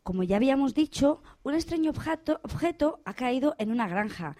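A woman plays a radio anchorwoman in a comic news spoof. Her fairly high voice sounds like a presenter's, reassuring and motherly with a gentle scolding streak. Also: expressive, brisk. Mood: soothing, newsy. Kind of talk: radio theatre parody of news broadcast.

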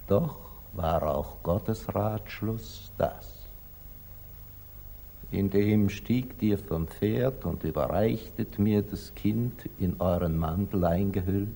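An actor playing a grave, wise merchant father in a 1940s German radio drama, solemn and declamatory, moving between hushed and fervent delivery. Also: lowish voice, slow pace, creaky, husky, exaggerated voice. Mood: grieving, solemn.

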